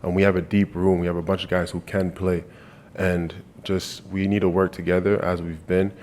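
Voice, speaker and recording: Young athlete from Canada, deep and even delivery, earnest, restarts lines as he thinks. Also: moderate pace, slight background noise. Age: 20s. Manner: earnest, repeats and restarts phrases. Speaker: college football player. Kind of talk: press conference answers. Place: media day press room.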